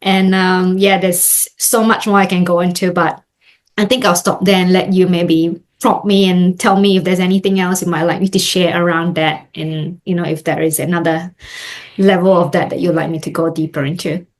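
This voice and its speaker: A woman from Singapore, a marketing coach, telling her life story as a podcast guest in a reflective way with many pauses and fillers. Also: lowish voice, level tone. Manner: reflective, many "um" and "you know". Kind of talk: interview, personal storytelling.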